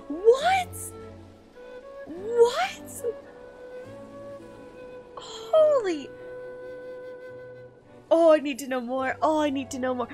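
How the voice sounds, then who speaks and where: An excitable woman streamer reacts live with a high voice that swings widely in pitch. She exclaims and swears freely, with music playing behind her. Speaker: streamer reacting to and reading a manga aloud. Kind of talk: animated live reaction and dramatic read-aloud.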